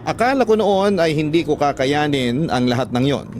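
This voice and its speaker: A man's voice: on the high side, animated, moderate pace, background noise. A man with a Filipino accent reading a scripted first-person story as a dramatic radio narration. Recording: background music under the voice. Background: music.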